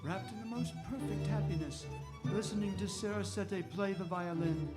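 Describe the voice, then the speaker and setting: An actor playing a gentleman doctor who fondly recounts his friend's adventures as narration in a radio drama. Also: high voice, normal speed, lightly acted. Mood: fond reminiscence. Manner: reminiscent, storytelling. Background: violin music.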